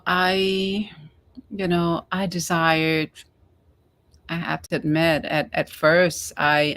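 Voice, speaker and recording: A middle-aged woman from Cambodia who grew up in the United States, speaking haltingly as a guest in a casual online interview. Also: low voice. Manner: halting, repeats words.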